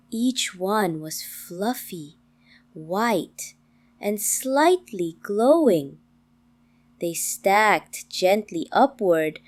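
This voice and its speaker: A woman narrating a children's bedtime story in a calm, gentle manner, with frequent pauses between short phrases. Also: mid-range voice. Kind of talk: slow, soothing scripted bedtime storytelling for toddlers.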